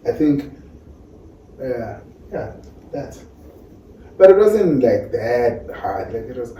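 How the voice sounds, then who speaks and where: A man's voice: medium pitch, big pitch swings, slow, dark tone, lo-fi sound. Laid-back man rambling in an echoey room. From South Africa. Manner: rambling, hesitant, lots of fillers and restarts. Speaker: guest recounting a trip. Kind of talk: casual chat. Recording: roomy, distant mic with faint noise.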